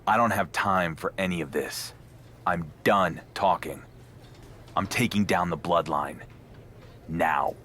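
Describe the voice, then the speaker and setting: A man's voice: sits low, takes it slowly, long pauses, pushed and bright, a little hiss or hum, exaggerated voice. A man playing a stubborn, brooding wrestling champion in a video game cutscene, speaking in a grim, clipped and resolute way. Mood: grim, determined. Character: stubborn young male wrestling champion, guilt-ridden, determined, brooding.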